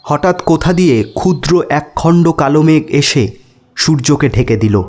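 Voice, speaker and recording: A man narrating an audio story as its host, in a measured, dramatic and expressive storytelling manner. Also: on the high side. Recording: background music under voice.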